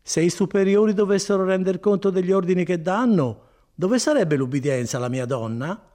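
A man voicing a placid, folksy friar in an audiobook, chattering on with unhurried reassurance. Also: high-pitched, dark tone, voice pushed up, lightly acted. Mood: complacent, chatty. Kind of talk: dramatised audiobook reading.

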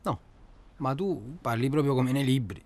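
A man playing a gruff, down-to-earth hunting companion in a radio drama, terse and colloquial, with a southern Italian accent. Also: medium pitch, expressive, moderate pace, a little mellow, lightly acted. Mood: teasing, sceptical. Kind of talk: naturalistic radio drama dialogue. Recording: clear ambient noise behind.

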